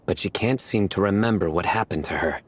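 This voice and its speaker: An actor playing a terse, serious young man who speaks in a flat, matter-of-fact way. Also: deep voice, brisk, natural voice. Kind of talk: game cutscene voice acting. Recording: studio voice with faint music.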